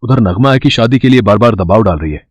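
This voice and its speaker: A man voicing an anxious, excitable young man who talks casually and dramatically to his friend in an audio story. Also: on the low side, fast, no room sound, lo-fi sound. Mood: worried. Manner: colloquial, worried, dramatic.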